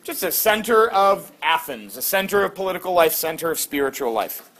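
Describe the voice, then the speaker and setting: A middle-aged man teaching a class, lively and quizzing students with quick questions. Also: a little high.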